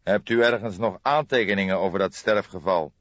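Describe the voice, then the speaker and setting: A man's voice: deep voice, a bit bright, no echo, lo-fi sound. A man voicing an old police detective in an audiobook, courteous and formal but insistent, with restrained impatience. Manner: deliberate, formal, spelling things out carefully.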